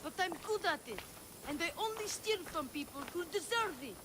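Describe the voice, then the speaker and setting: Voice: very high-pitched, animated, normal speed, in a large hall, background noise. An actor playing a mischievous young boy who has known grief, in video game cutscene acting. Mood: cheeky, playful. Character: mischievous grieving young boy, light-fingered.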